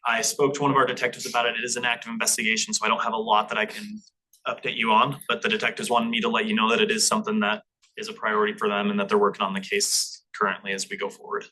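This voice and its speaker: Bright, slightly husky male police officer. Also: lowish voice, racing, poor audio. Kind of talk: informal public briefing. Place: public meeting room.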